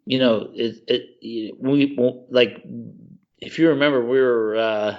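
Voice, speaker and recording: A man co-hosting a podcast in casual chat, gushing and excitable, calling his friend "dude.". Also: medium pitch, slow pace, highs cut off. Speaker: podcast co-host.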